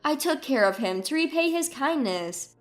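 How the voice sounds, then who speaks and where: Wronged daughter-in-law played by a woman, high voice, earnest, argumentative, story drama. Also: animated, moderate pace, soft background music. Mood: hurt, indignant. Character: wronged, outspoken daughter-in-law standing her ground.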